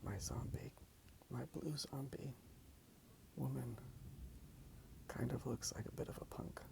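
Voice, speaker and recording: Whispering ASMR man with gravelly creak. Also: medium pitch, measured pace. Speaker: ASMR video creator. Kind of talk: ASMR, casual soft rambling. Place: outdoors in a park.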